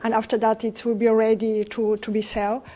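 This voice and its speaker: Bulgarian woman pitching her prototype. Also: monotone, dark tone. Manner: halting, non-native phrasing. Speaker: startup founder presenting. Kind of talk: pitch presentation.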